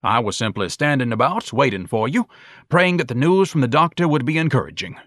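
A man's voice: medium pitch, sing-song, a little fast, fairly bright tone, no room sound, studio quality, voice pushed up. A man voicing a pompous, huge thirty-year-old mama's boy who speaks in a florid, condescending way. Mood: self-important.

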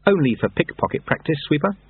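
A man voicing a quick-witted young apprentice in an audiobook story, respectful, plain-spoken and matter-of-fact. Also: on the high side, expressive, creaky, breathy edge, dry recording, phone-quality sound, voice pushed up, full character voice.

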